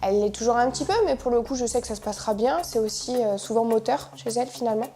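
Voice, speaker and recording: A woman animal trainer speaking calmly and reassuringly in a documentary interview. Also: a little high, quick pace. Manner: calm, reassuring. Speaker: zookeeper trainer. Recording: background music.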